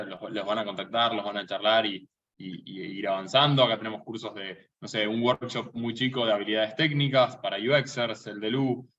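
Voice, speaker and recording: A man hosting a live online webinar in a relaxed, hesitant way, with many fillers and restarts. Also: lowish voice. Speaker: co-founder and event host. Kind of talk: casual live webinar introduction. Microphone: video call. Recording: distant, muffled video-call audio.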